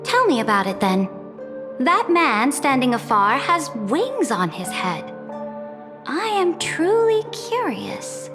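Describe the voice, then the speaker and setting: A woman voicing a regal, aloof female emperor in a video game, speaking in a formal, imperious, measured way. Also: sits high, very animated, exaggerated voice. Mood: curious, aloof. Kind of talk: scripted game cutscene dialogue. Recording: long reverb over music.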